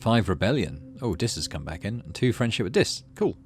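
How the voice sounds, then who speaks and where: Low-voiced man with very animated delivery. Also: brisk, over music. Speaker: gaming channel host. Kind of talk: game playthrough, narrating story text aloud.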